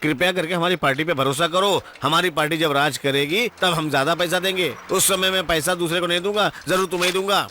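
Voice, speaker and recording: High, bright-voiced wordy small-time politician. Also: male voice, fairly even intonation, quick pace, few pauses, slight background noise. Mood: coaxing. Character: glib, small-time male political party orator and husband. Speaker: small-party political speaker.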